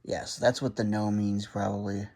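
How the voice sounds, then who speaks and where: A man with a fairly low voice talks through setting up a gadget in a casual, unscripted way. He thinks out loud, reading items off one by one and adding his own short comments as he goes, like a hobbyist vlogger. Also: flat delivery, normal speed. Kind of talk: casual hands-on unboxing and setup narration.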